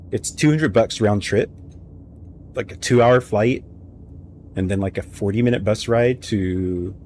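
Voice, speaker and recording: A man's voice: lowish voice, a little hiss or hum. A young man streaming a game and telling rambling stories in casual chat, talking into a headset mic at home. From United States. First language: English. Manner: rambling, anecdotal, animated storytelling. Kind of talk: casual live-stream chat while gaming.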